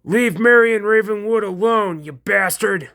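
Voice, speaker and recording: A hot-headed brawler yells insults in a strained, high voice. Every line is pitched far up and blasted out at full volume. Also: male voice, animated, slow, dry recording, clean studio sound, heavily acted. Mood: angry. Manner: loud, yelling insults. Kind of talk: fan-made cartoon voice acting.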